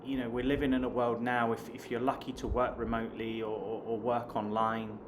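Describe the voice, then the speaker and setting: A man's voice: mid-range voice, level tone, fairly quick. A man in his late thirties from the south of England, with a southern English accent, chatting casually to viewers as a vlogger. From Hampshire, south of England. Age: late 30s. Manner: chatty, addresses viewers as guys. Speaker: online English teacher and vlogger. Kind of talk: casual talk to camera. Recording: clear background noise.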